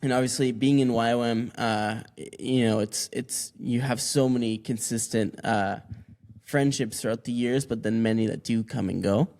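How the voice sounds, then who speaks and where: A man in his twenties who grew up in Mexico, telling his story as a podcast guest in a relaxed, rambling way with many restarts. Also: medium pitch, moderate pace. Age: 20s. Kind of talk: casual interview answers.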